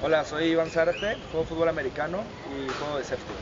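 A young man with a Mexican accent, talking in a relaxed, joking way. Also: a little high, quick pace. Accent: Mexican Spanish. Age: young adult. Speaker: football player. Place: outdoors on a campus. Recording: dull lo-fi sound, loud outdoor noise.

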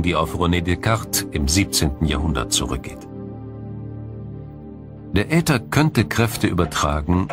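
A man reading scripted science documentary narration in a measured, explanatory way. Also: low voice. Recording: music behind the narration. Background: background music.

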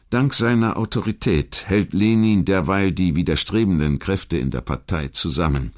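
A man reading scripted historical narration in a measured, articulate and formal manner. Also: deep voice. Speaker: documentary narrator.

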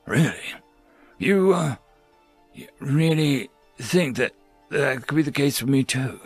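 A man's voice: medium pitch, animated, takes it slowly, husky, soft background music, voice pushed up. A man voicing a remorseful male soldier in a story, tearful, hesitant and lamenting. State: crying.

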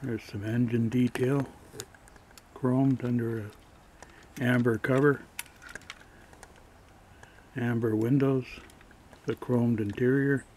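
A man showing his collector's finds in a casual, matter-of-fact way, pausing as he handles each piece, recorded on a camera mic. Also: medium pitch, steady tone, darkish tone, slight background noise. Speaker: toy collector showing flea market finds. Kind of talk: casual show-and-tell narration.

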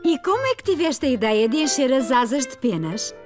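A woman's voice: high voice, very animated, fairly quick. A woman voicing a cheerful, encouraging preschool teacher who praises small children in European Portuguese for a cartoon. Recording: faint music behind the voice.

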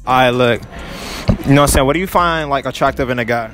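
A man's voice: medium pitch, fast, over music. A young man conducting a casual street interview at a school, slangy and repeating "all right, look" and "you know what I'm saying," speaking into a phone. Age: young adult. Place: outdoors and in hallways at a high school. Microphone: phone held to the mouth.

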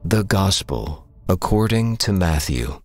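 A man's voice: sits low, big pitch swings, slow, music in the background. A man making scripted title announcements for scripture readings.

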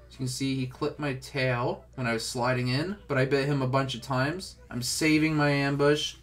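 A man's voice: mid-range voice, steady tone, normal speed, fairly bright tone. A young man with a stuffed-up, congested voice, casually talking through a game tutorial with lots of filler words. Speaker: gaming tutorial host.

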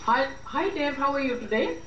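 A muffled, roomy recording with some noise carries an actress playing a fed-up young woman in a radio drama. Her voice is high, and she scolds dramatically, hammering on repeated words. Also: expressive, moderate pace, distant mic, over loud music. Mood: weary. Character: fed-up, hot-tempered young Indian immigrant woman scolding boyfriend. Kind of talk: amateur radio drama acting.

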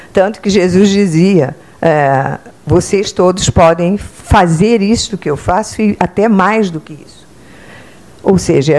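An older Brazilian woman, a psychotherapist, giving a spiritual lecture standing in an auditorium, talking into a handheld microphone and often checking in with her listeners. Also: fairly low pitch. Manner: conversational, checks in with "entende?" and "né?"; speaks with lively hand gestures, standing. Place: club auditorium, indoors.

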